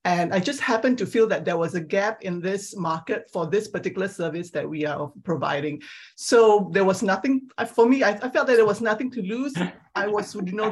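A middle-aged woman from Singapore, answering as a founder on a panel in a measured, hesitant, conversational way. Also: mid-range voice, husky.

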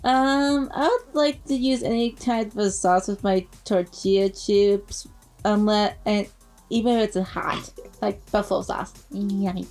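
A woman's voice: mid-range voice, unhurried, darkish tone, faint music behind. A woman chatting casually as a podcast panelist. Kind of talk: casual chat.

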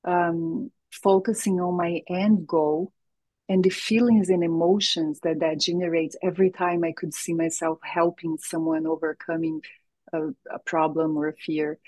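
A woman speaks English with a Brazilian Portuguese accent, her first language being Portuguese. Her voice sits low and a little husky, and it comes across dry and close. She talks in an earnest, reflective way, with frequent light laughter. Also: level tone, normal speed, a little mellow, no room sound, rough recording. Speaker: guest, holistic health coach. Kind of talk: casual interview chat.

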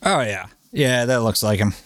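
A man co-hosting a podcast with casual, reactive banter, irreverent and quick to swear. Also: medium pitch, a bit bright, no echo. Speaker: podcast co-host.

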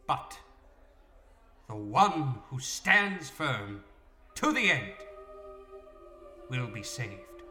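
An actor playing a solemn prison minister reading scripture in an audio drama, grave and halting. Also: male voice, mid-range voice, bright, forceful tone, full character voice. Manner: very slow, halting, grave delivery. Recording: echoey room with music.

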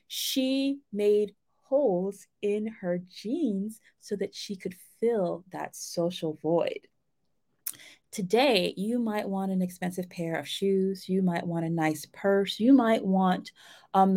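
A woman's voice: mid-range voice, darkish tone. A middle-aged woman giving an informal, explanatory lesson on writing in a chatty, self-deprecating way. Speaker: writing instructor and romance novelist.

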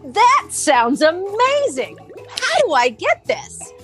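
A wide-eyed, eager customer played by a woman in a mock commercial. The voice is high and swings wildly in pitch, amazed and easily sold, with some faint music. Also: big pitch swings, slow, fairly bright tone, exaggerated voice. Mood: amazed, curious.

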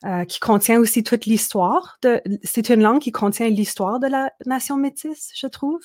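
A woman's voice: a little high, moderate pace, a little mellow, no room sound. Woman, musician guest, reflective, dry close mic. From Manitoba, Canada. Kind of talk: interview conversation.

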